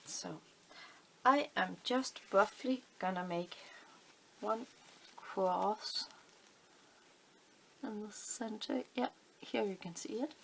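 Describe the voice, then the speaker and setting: Danish woman, middle-aged, craft tutorial host; slow, fry in the voice, a faint room hiss behind her. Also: medium pitch, fairly bright tone, low-quality recording. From Denmark. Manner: unhurried, thinking aloud with many fillers. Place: indoors at a table, hands on camera.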